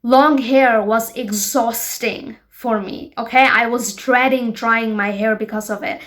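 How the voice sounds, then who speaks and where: Frank young woman vlogging, higher voice. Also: a bit bright. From Bangladesh. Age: 20s. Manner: frank, emphatic, talks straight to her viewers. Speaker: show host. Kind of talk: personal vlog monologue.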